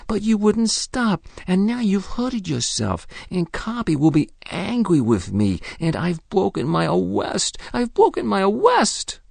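A man's voice: sits high, vocal fry, darkish tone, dry recording, voice pushed up, heavily acted. A man reading aloud and voicing a small boy who pleads earnestly and tearfully. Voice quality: childish, pleading.

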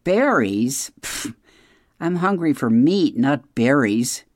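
A reader voicing a sly, demanding, hungry fox from a storybook in a gruff, mocking manner. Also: mid-range voice, sing-song, slow pace, no room sound, studio quality. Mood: demanding, scornful.

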